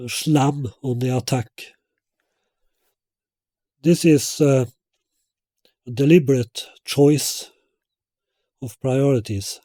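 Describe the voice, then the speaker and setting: Slow, halting man with a mellow voice. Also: medium pitch, no room sound, clean studio sound. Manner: halting, hesitant delivery. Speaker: loudspeaker designer presenting own product. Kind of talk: product presentation with technical explanation.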